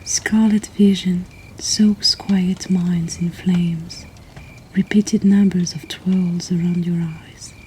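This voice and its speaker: Slow, whispering woman reading poetry. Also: lowish voice, warm tone, a little hiss or hum, rough recording. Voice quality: whispered, breathy. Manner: slow, soothing, intimate. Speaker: host of a poetry reading series.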